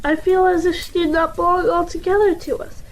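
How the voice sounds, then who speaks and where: A woman playing a devoted, gentle, pious mother with tender, earnest delivery in a period audio drama. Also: extremely high pitch, flat delivery, brisk, poor audio. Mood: resigned, pious. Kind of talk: family audio drama acting.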